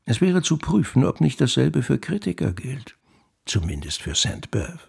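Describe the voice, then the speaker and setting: An actor playing a proud, caustic novelist musing in a radio play, with a gravelly, raspy voice and a biting manner. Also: male voice, medium pitch, huge pitch swings, normal speed, very noisy, full character voice. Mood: musing, sly. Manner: self-satisfied, biting, musing. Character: proud, caustic male novelist brooding over his critics.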